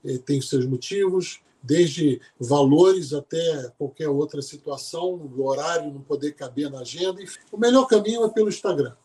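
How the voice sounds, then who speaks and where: A man from Brazil, a sports psychologist guest, talking in a relaxed, chatty way in a casual podcast interview and often restarting his phrases. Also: on the high side, moderate pace, few pauses. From Brazil, born in Salvador, raised in Rio de Janeiro.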